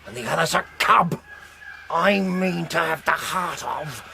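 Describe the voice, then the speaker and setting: An actor playing a hot-headed, mutinous pirate making threats in a radio drama. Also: male voice, on the high side, unhurried, vocal fry, bright, forceful tone, a little hiss or hum, exaggerated voice. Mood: furious.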